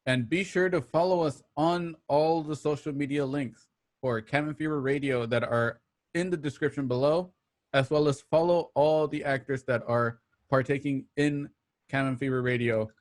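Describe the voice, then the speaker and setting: A man's voice: on the high side, normal speed. Casual male radio show host. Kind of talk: casual sign-off announcements to listeners.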